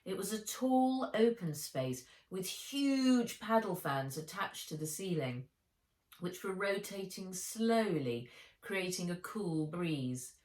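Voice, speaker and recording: A woman author reading her children's adventure book aloud in an expressive storytelling style. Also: lowish voice, slow, recorded from a distance. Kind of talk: expressive children's audiobook narration. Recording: roomy, echoey room sound.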